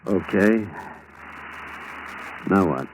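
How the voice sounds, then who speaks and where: A man's voice: deep voice, animated, drawn-out words, heavily acted. An American actor playing a determined male FBI agent in a 1940s radio drama, talking in short, direct phrases. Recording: noisy old 1940s radio recording.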